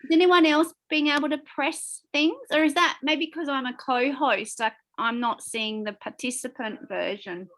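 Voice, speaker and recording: Woman, high bright voice, upbeat co-host, laughs easily. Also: steady tone, normal speed, few pauses, low-quality recording. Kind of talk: reading out poll results.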